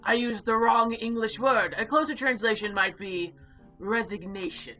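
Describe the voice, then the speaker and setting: An imperious female eldritch being of the void, voiced by a woman for a visual novel. She speaks with formal, haughty deliberation and a sharp, bright edge. Faint music plays behind her. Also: on the high side, moderate pace. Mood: disdainful. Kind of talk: visual novel character voice-over.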